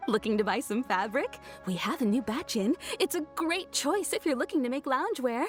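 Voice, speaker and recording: An actress playing a friendly, polite fabric shop owner in a video game, eager to help. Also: female voice, high-pitched, expressive, brisk, soft background music. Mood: welcoming. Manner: polite, helpful. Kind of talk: scripted video game voice acting.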